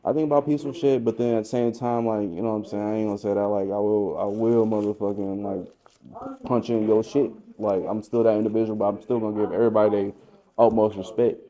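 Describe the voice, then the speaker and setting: A man's voice: on the low side, steady tone, fast talker, dark tone. A young man as a podcast guest, talking in a reflective, laid-back way and often saying "you know what I'm saying". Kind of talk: casual interview chat. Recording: dull lo-fi sound.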